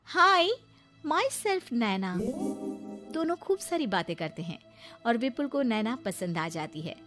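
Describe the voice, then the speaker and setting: Female narrator for moral-story cartoon, animated intonation, clear music bed. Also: medium pitch, normal speed.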